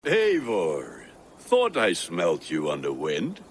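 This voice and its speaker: A man's voice: sits low, wildly swooping pitch, slow pace, husky, exaggerated voice. An actor playing a hearty, lovelorn Viking blacksmith in a video game, proudly smitten and savoring his words. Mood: smitten, jovial. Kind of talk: dramatic video game acting.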